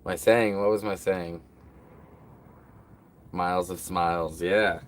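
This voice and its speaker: A man's voice: deep voice, steady tone, slow pace. A man hosting a casual livestream, rambling to his viewers with lots of fillers. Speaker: livestream host.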